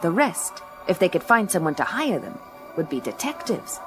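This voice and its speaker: A woman's voice: fairly low pitch, sing-song, moderate pace. An actress playing a tough, wisecracking woman detective in a radio play, dry, blunt and slangy. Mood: dry narration. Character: tough, wisecracking woman gumshoe narrating her case. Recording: music under the voice.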